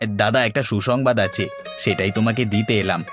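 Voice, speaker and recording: A man's voice: on the low side, moderate pace, over music, lightly acted. A man voicing an earnest, modest and respectful village man in a cartoon. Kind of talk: Bengali cartoon dubbing.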